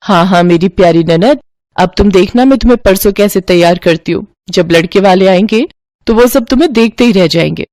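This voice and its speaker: A woman's voice: medium pitch, fairly quick, a little mellow, no room sound, lightly acted. Woman doing young bahu in a moral story, sugary bossy and secretly fed up, dry close sound. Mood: sweetly eager. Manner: sweetly bossy, dramatic. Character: scheming young daughter-in-law, sugary to family, secretly resentful.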